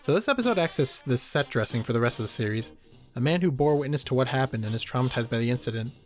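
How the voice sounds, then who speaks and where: A man's voice: medium pitch, expressive, quick pace. A man giving comedic video essay commentary in a sarcastic, joking, casually profane way. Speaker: YouTube horror reviewer. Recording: music behind the voice. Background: background music.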